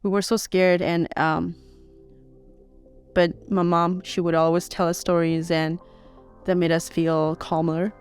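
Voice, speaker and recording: A soft-spoken young woman who grew up on the Thailand-Burma border and whose first language is S'gaw Karen, telling her life story slowly and hesitantly as a podcast guest, with many pauses and fillers. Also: on the low side, flat delivery, smooth, soft background music. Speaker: interview guest. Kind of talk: personal life story interview. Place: podcast studio.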